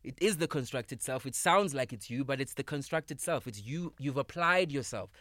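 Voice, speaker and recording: A man in his thirties, a comedian guest in a podcast studio, talking casually, emphatic and animated, swearing freely. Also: mid-range voice, normal speed, dry recording. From South Africa. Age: 30s. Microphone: studio microphone.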